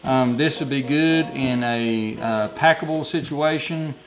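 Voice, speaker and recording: A man's voice: medium pitch, a little slow, music in the background, rough recording. A man hosting a fishing channel, casually reviewing radios in a rambling, folksy way from his workshop. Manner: rambling and folksy, lots of restarts. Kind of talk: casual product review vlog.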